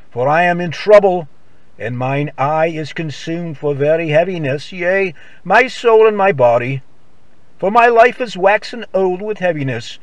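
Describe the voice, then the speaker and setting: A man's voice: a little high, no room sound. A man preacher reciting a psalm in a solemn, declamatory old-time gospel radio style. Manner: solemn, declamatory, archaic scriptural delivery.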